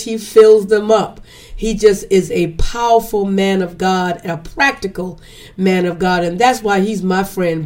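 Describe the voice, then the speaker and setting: A woman hosting a podcast, sounding congested and a little hoarse, chatty and repetitive with preacherly emphasis. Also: mid-range voice, darkish tone. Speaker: podcast host. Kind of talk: casual podcast intro.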